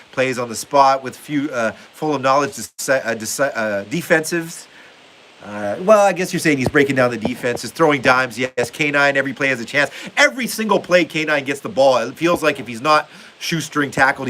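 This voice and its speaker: A man's voice: mid-range voice, bright, forceful tone. A young man hosting a casual live stream from his basement, reading viewer comments aloud in a rambling, upbeat way full of filler words. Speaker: livestream host reading chat. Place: home basement. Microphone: phone.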